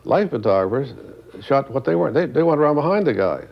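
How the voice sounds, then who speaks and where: An older man with a medium-pitched voice explains things casually in an interview. He draws out stressed phrases for emphasis, and the recording is slightly dull. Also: sing-song, quick pace, gravelly.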